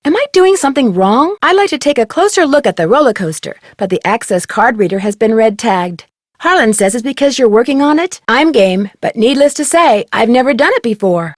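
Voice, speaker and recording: A woman's voice: on the high side, no echo, studio quality. A woman voicing a young female amateur detective in a video game, speaking politely and conversationally, with an inquisitive, persistent manner. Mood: inquiring. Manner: polite, inquisitive, clearly enunciated questioning. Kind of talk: video game dialogue, conversational question delivery.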